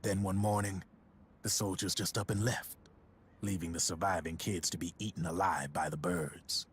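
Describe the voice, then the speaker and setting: An actor playing a sly middle-aged arms dealer, talking in a wry, husky storytelling voice. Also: male voice, sits low, fairly even intonation, moderate pace, slight background noise, natural voice.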